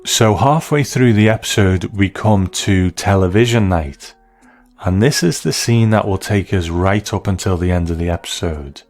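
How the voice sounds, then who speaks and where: A man with a low voice delivers scripted commentary as a host. He is measured and appreciative in his analysis, with faint music underneath. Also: darkish tone. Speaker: host of a TV episode commentary show.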